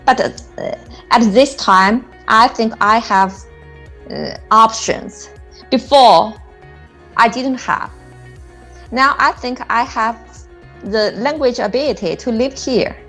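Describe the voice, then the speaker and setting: A woman who is an adult English learner gives a testimonial, speaking haltingly and pausing to think. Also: a little high, fairly bright tone, faint music behind. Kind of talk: testimonial interview.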